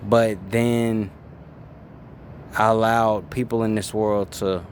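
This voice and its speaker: A man in his twenties being interviewed, talking in a laid-back, casual way and often trailing off softly. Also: lowish voice, flat delivery, slow pace, a little hiss or hum. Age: 20s.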